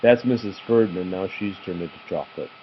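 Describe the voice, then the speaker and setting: A man reading a children's story aloud in an animated style. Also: low-pitched, fairly quick. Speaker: storybook reader. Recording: dull home recording, faint noise.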